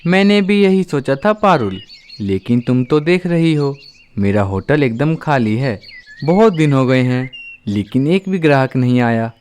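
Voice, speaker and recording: A man's voice: mid-range voice, animated, unhurried, darkish tone, clean tone, lightly acted. A man voicing a chatty, easygoing male crow who runs a hotel in a cartoon. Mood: friendly. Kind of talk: cartoon voice acting.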